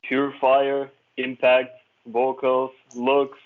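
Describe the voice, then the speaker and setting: A man's voice: mid-range voice, completely flat delivery, drawn-out words. A man hosting a casual group discussion, heard through a tinny, band-limited connection. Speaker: host.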